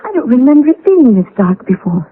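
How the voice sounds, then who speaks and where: A woman's voice: high-pitched, sing-song, a little fast, noisy background. A woman acting in a radio drama as an anxious American wife in an eerie mystery, fretful and hesitant. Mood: uneasy. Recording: muffled old radio broadcast.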